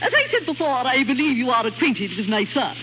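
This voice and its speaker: Haughty, high-voiced duchess. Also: female voice, very animated, brisk, music in the background. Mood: stiffly polite. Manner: imperious, formal, clipped. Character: haughty middle-aged English duchess, brisk and disapproving. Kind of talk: 1930s radio drama acting. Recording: muffled, noisy 1930s radio recording.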